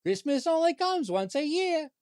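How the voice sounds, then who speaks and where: A man's voice: very high voice, sing-song, normal speed, darkish tone, no echo, studio quality. An actor playing a cheerful, chatty family man out shopping in a radio comedy, with quaint mangled phrasing. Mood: jolly, chatty. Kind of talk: old-time radio comedy acting.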